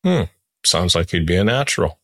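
A man's voice: sits low, big pitch swings, fairly quick, pushed and bright, no room sound, studio quality. A man interviewing a guest conversationally as a podcast host.

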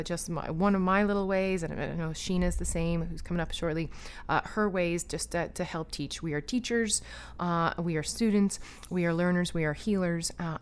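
A woman hosting a talk show, speaking in an enthusiastic, rambling way with many fillers and restarts. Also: lowish voice. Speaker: podcast host. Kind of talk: casual spiritual talk, guided breathing.

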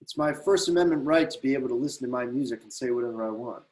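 A man's voice: medium pitch, fast talker, a little mellow, lightly acted, poor audio. A rowdy big man with a mocking, defiant streak, acted by a man in a script reading. His mid-range voice taunts authority and insists on having his way. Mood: defiant, angry. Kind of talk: screenplay table read.

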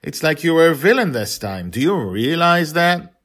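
A man's voice: on the high side, big pitch swings, normal speed, a bit bright, voice pushed up, exaggerated voice. A man playing an earnest father in a storybook tale, stern but loving toward his young daughter. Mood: angry, scolding. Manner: earnest, parental.

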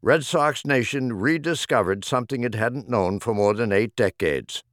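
A man's voice: medium pitch, normal speed, a bit bright, no room sound, studio quality. A man reading humorous rhyming poems as an audiobook narrator, with a theatrical, rhythmic delivery. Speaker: audiobook reader.

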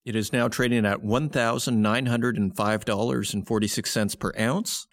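A man's voice: medium pitch, vocal fry. A man hosting a podcast, giving conversational market commentary and explaining in short phrases as he thinks aloud. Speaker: podcast host.

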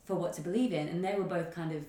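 A woman hosting a casual panel discussion, chatty and informal as she tells stories in an animated way. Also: on the low side, quick pace. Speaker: podcast host. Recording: echoey room.

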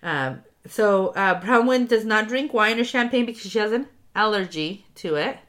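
A woman's voice: medium pitch, animated, normal speed, a bit bright. A woman co-hosting a podcast, a little congested in the nose. She talks in loose, casual chat, full of ums, likes and easy swearing. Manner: chatty, swears freely, frequent ums and likes. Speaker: podcast co-host recapping a reality show.

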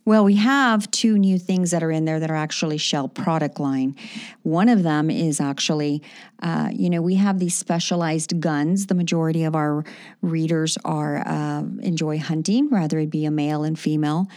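A woman's voice: deep voice, moderate pace, darkish tone, no room sound, studio quality. A woman hosting a radio talk show, promoting her products with enthusiasm and lots of fillers. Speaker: radio show host and magazine publisher.

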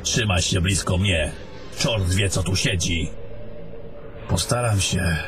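A man's voice: low-pitched, slow, music in the background. A man playing a travelling adventurer in a fantasy audio drama. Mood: uneasy. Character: fantasy adventurers, gruff travelling men and a mage.

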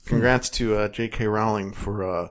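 A man's voice: fairly low pitch, steady tone, normal speed. A married man co-hosting a podcast, joking sarcastically and swearing in casual banter. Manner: sarcastic, profane, drawling. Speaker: podcast co-host.

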